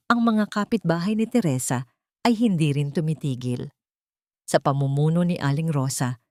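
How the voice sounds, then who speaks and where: Low-voiced woman narrating drama. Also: a little mellow, no echo, clean studio sound. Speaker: audiobook narrator. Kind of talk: scripted dramatic story narration.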